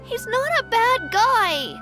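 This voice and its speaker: A woman's voice: very high voice, sing-song, takes it slowly, loud music behind the voice, heavily acted. A woman voicing an earnest, loyal little boy in a video game dub, with a childlike way of talking. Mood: protective, pleading. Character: earnest, loyal little boy who loves beetle fights.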